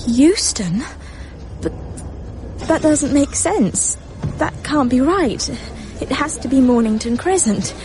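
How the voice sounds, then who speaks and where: An actress playing an anxious, claustrophobic, genteel woman who fretfully talks to herself in a radio drama. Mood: nervous. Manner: fretful, halting, talking to herself. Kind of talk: radio drama interior monologue. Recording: noisy train rumble behind the voice.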